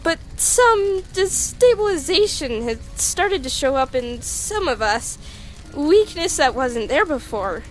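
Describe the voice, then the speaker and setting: A woman voices an anxious female monster scientist in a cartoon dub. The voice is high and unhurried, with halting, stammering delivery over faint music. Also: very animated, heavily acted. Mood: anxious explaining. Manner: hesitant, stammering. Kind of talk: animated comic dub acting.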